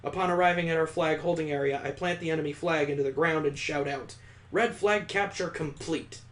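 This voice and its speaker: Higher-voiced man reading a visual novel aloud. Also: fairly even intonation, quick pace. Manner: dramatic narration broken by amused, incredulous commentary. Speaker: gaming channel host doing a let's play.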